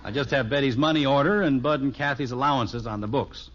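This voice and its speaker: A man's voice: mid-range voice, a little fast, a bit bright, close to an everyday voice. An American actor playing a genial middle-aged father in a 1950s radio sitcom, patiently explaining things with mock formality. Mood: easygoing. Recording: muffled 1950s radio recording.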